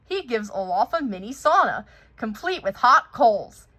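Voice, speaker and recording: A woman reading a children's picture book aloud in an expressive storytelling style. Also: a little high, measured pace.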